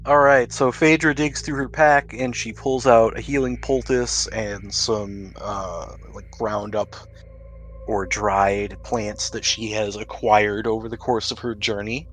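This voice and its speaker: A man's voice: fairly low pitch, steady tone, measured pace, a bit bright, faint music behind, low-quality recording. A man speaking as game master, narrating a tabletop roleplaying game in a conversational way.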